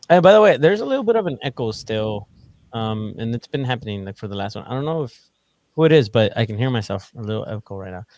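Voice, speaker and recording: A man co-hosting a podcast over a call in headphones, chatting playfully and teasing his co-host with quick laughs. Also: mid-range voice, expressive, darkish tone, dry recording. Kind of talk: casual podcast chat. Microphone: podcast mic on a remote call, wearing headphones.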